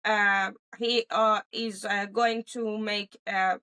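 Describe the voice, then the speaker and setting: A woman from Iran with an Iranian accent and Persian as her first language, answering in an interview, haltingly searching for words. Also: mid-range voice, flat delivery, bright, forceful tone, clean tone. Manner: halting, searching for words in non-native English. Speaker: interview guest giving a first-hand account.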